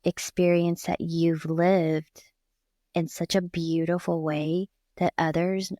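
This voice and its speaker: A woman's voice: on the low side, little pitch movement, slow, warm tone, no echo, studio quality. A woman hosting a conversational podcast interview, speaking in an effusive, affirming way. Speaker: podcast host.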